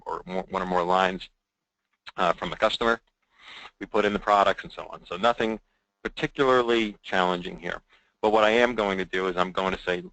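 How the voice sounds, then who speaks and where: A man with a deep voice and a crisp, forward tone presents a software demo. He talks informally and conversationally, as if walking colleagues through slides. The sound is close and dry. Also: no echo, rough recording. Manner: conversational, checking in with "right?".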